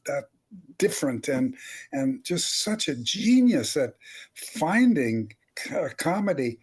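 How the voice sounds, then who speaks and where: Slow-talking, higher-pitched man. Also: big pitch swings, darkish tone, breathy edge. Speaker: panelist, audio drama creator. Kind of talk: reflective anecdote.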